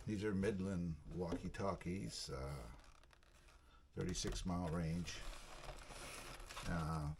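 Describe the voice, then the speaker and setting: A man's voice: sits low, fairly even intonation, takes it slowly, pauses often. A man hosting a casual unboxing vlog, talking in a plainspoken, folksy way as he handles things. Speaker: video channel host. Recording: clearly noisy recording with clicks.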